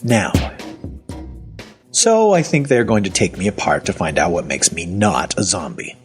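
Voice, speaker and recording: A man's voice: low voice, sing-song, unhurried, over music. An actor playing a wry, cynical half-zombie man in an audio fiction drama, telling his own story in a sardonic, colloquial manner. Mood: matter-of-fact, wry. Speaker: voice actor and narrator. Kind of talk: first-person audio fiction narration and dialogue.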